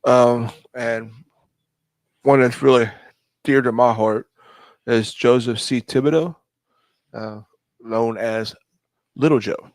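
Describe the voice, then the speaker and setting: A man telling stories casually on a podcast, often halting and searching for his words. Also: medium pitch, steady tone, no echo. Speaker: podcast co-host. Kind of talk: casual storytelling on a live podcast.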